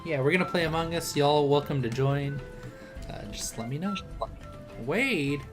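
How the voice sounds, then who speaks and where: A man's voice: a little high, moderate pace. A young man giving a casual, encouraging live art critique in an informal way as a streamer. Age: young adult. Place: indoor home desk setup. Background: music behind the voice.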